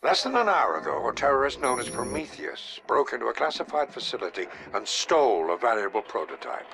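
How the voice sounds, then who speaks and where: A man's voice: mid-range voice, sing-song, fairly quick, vocal fry, pushed and bright, heavily acted. An actor playing a commanding male government spymaster, speaking in a formal, controlled way. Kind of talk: video game cutscene voice acting. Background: game score music.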